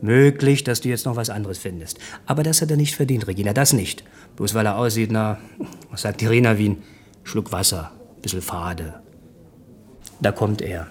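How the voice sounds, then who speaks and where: Actor as blunt, nostalgic working-class ex-husband. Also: male voice, fairly low pitch, normal speed, a little mellow, close to an everyday voice. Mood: admonishing. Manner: colloquial, needling, familiar. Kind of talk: naturalistic German radio play acting.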